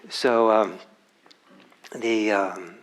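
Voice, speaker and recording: A lower-voiced man giving a meditation talk, unhurried and reflective. He speaks haltingly, often breaking off and restarting his phrases as he thinks aloud. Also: fairly even intonation. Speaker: meditation teacher. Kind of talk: dharma talk. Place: meditation hall.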